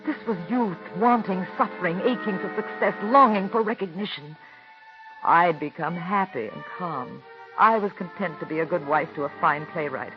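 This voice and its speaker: An actress in her thirties playing a calm, married playwright's wife who narrates, speaking in a poised, measured way in a 1940s radio drama. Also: fairly low pitch, lightly acted. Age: 30s. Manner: poised and measured, gently firm. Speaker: narrator and lead character. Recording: dull old radio broadcast with faint music.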